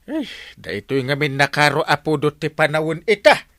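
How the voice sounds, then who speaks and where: An actor playing a lazy, sleepy village captain in a comic radio drama, stammering and evasive with an Ilocano accent. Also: male voice, fairly high pitch, expressive, moderate pace, fairly bright tone, dry recording, clean studio sound. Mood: whiny, evasive. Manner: stammering, full of "eh" and "ay".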